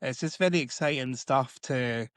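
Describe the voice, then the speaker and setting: A man's voice: mid-range voice, moderate pace, a bit bright, no echo. Chatty, rambling podcast co-host man. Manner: chatty, rambling with many likes. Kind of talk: casual chat.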